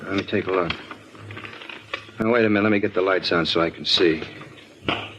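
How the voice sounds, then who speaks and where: An actor playing an evasive, grudging hotel manager with folksy, ungrammatical speech in a 1950s radio drama. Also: male voice, deep voice, a little fast, lightly acted. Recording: muffled, noisy 1950s radio recording.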